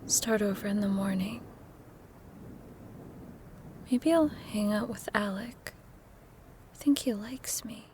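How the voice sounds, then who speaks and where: An actress playing a frightened, guilt-ridden lonely woman, tremulous and halting, in a horror audio drama. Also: medium pitch, mellow, slight background noise, close to an everyday voice. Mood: whispered self-doubt.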